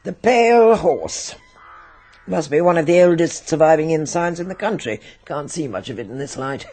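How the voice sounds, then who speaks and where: An actress playing a dry, opinionated older English spinster who presides as hostess, in radio drama style. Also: female voice, low voice, expressive, warm tone. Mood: dry, disapproving. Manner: brisk, opinionated, knowing.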